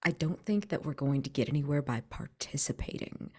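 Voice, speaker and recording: Woman playing a low-voiced, earnest, polite young man. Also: animated, a little fast, dark tone, husky, full character voice. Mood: wary, resolved. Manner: hesitant, thoughtful, with false starts. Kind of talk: in-character tabletop roleplay.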